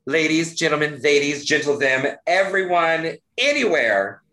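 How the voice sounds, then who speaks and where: A man co-hosts a relaxed conversation with a playful, animated delivery. His pitch swoops widely from low to high as he talks. The sound carries a noticeable room echo. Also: a little slow. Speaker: podcast co-host. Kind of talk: casual chat. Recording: roomy echo.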